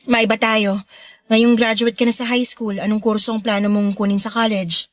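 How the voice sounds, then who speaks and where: High-voiced, protective Filipino big sister, acted by a woman. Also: flat delivery, normal speed, fairly bright tone, close to an everyday voice. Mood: earnest advising. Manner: concerned, scolding on brother's behalf. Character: protective, caring older sister, a young mother. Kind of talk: Tagalog radio drama acting.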